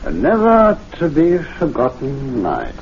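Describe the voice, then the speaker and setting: An actor playing a breezy, inquisitive gentlemen's club member in a radio drama, jovial and knowing in manner. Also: male voice, medium pitch, huge pitch swings, slow pace, creaky, full character voice. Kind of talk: 1970s radio drama acting. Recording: dull, noisy old radio recording.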